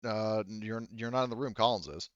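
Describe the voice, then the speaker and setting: A man narrating a tabletop roleplaying game as game master, informal and halting, often correcting himself. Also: lowish voice, no room sound, highs cut off.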